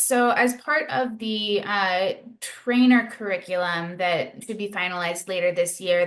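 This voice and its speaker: A woman presents a webinar on a video call, answering questions in a friendly, measured way with frequent ums. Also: fairly low pitch, fairly bright tone.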